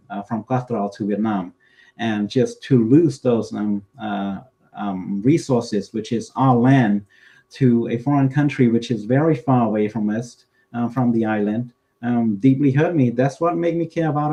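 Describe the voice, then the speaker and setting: A young man from the United States giving earnest political commentary, halting with many fillers. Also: mid-range voice, dark tone. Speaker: co-host.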